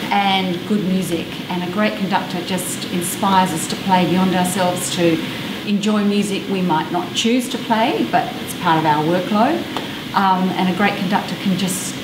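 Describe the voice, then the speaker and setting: An Australian woman from South Australia, an orchestral double bass player, talking reflectively and with enthusiasm in an interview. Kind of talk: casual interview. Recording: echoey room with background noise.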